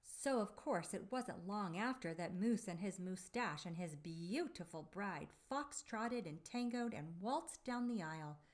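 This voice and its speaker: A woman reading a storybook aloud for young children, with deliberate, dramatic phrasing and drawn-out emphasis on key words. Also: medium pitch, lively intonation. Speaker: children's storybook reader and presenter.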